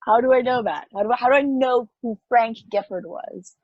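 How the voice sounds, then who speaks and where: A woman playing a newly awakened, anxious space probe in an amateur table read, halting, stammering and apologetic. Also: a little high, dry recording, highs cut off, natural voice. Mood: bewildered, anxious.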